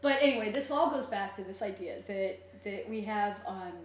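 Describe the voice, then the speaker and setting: A woman's voice: mid-range voice, normal speed. A middle-aged woman chatting casually, telling stories and explaining things at length. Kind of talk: casual chat. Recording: echoey, distant mic, faint noise and hum.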